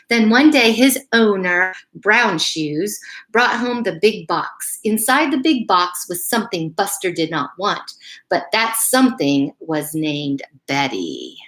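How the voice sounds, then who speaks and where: Older lady, highish bright voice, chatting to little kids and reading storytime, encouraging. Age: middle-aged or older. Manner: encouraging, addresses young children directly. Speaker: elementary school principal or staff storyteller. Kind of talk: casual live chat and children's bedtime story read-aloud.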